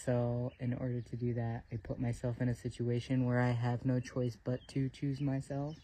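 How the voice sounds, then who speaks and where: A man's voice: medium pitch, monotone, moderate pace, dark tone. A man from North Carolina, born in Puerto Rico, chatting casually and reflectively in a self-recorded hiker interview, a little hesitant and self-amused. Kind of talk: casual, reflective chat to camera.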